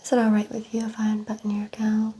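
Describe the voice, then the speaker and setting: A woman speaking softly in an ASMR medical exam roleplay, giving gentle clinical instructions as a doctor. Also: medium pitch, monotone, fast, breathy edge. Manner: soft, whispery, gently clinical instructions and remarks. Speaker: ASMR performer playing a doctor.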